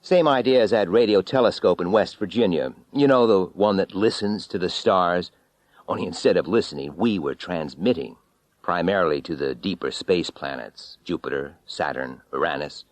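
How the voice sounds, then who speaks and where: A man's voice: low-pitched, moderate pace, no echo, lightly acted. A man voicing a bitter, haunted former astronaut in an audiobook story, wry, weary and intense. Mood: matter-of-fact, wry. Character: bitter, haunted male former astronaut recounting his ordeal.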